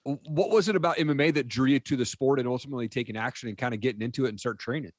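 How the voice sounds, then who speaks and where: A man's voice: medium pitch, racing, no echo, clean studio sound. A man hosting a podcast interview, upbeat and casual, peppering questions with "man" and "dude". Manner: enthusiastic, says man and dude a lot. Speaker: podcast host.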